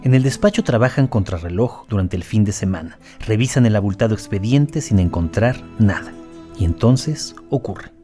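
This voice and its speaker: A man's voice: lowish voice, expressive, normal speed, mellow, faint music behind. A man reading scripted documentary narration as co-narrator of an audio series.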